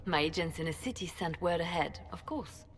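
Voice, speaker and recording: A woman playing a cold, ruthless spymaster in a fantasy video game, with controlled, deliberate delivery. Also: lowish voice, natural voice. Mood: guarded.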